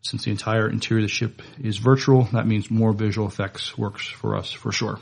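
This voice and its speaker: A man co-hosting a podcast, reading news and chatting casually in a halting, plain-spoken way. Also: fairly low pitch, flat delivery, creaky. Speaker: podcast co-host.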